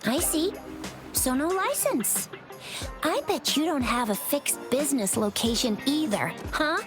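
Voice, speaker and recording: A feisty young patroller in a video game. Her voice is high and swings a lot as she speaks briskly and officiously, with music clearly underneath. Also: very animated. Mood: stern, officious. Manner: brisk, interrogating, assertive. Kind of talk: scripted video game acting.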